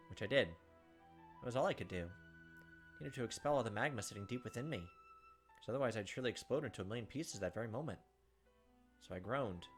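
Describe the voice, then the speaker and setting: A man's voice: medium pitch, a bit bright. A man hosting a let's play, reading game text aloud dramatically with casual asides. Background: game music.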